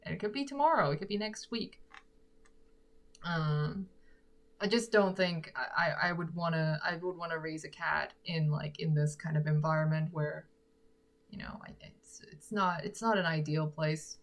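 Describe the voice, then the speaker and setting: A woman's voice: low voice, moderate pace. A young woman from Korea, chatting casually with viewers, giggly and thinking aloud. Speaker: singer-songwriter chatting with viewers. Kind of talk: casual chat answering live comments.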